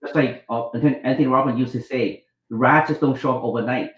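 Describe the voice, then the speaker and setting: A man's voice: medium pitch, steady tone, fast, fairly bright tone, roomy sound, highs cut off. A middle-aged man from Vietnam, a doctor and author telling his life story as an interview guest, with many restarts, acting out the dialogue. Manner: rambling, restarts, acts out quoted dialogue.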